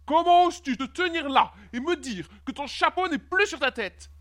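A woman's voice: medium pitch, expressive, normal speed, a bit bright. A woman voicing a haughty, furious king in a storybook, imperious and indignant as she makes demands. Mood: outraged, commanding. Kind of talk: storybook character acting.

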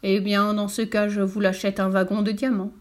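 A woman's voice: medium pitch, level tone, moderate pace, close to an everyday voice. Sultan for a children's tale, played by a woman: rich imperious man, curt, assured, drily ironic.

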